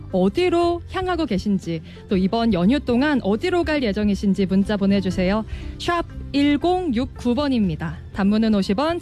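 A woman producer hosting a live holiday radio broadcast, with a bright, polished announcer delivery. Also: on the high side.

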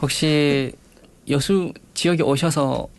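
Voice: mid-range voice, vocal fry. An interviewer politely questioning church members, with frequent short affirmations. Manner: polite, quick affirmations and soft laughs.

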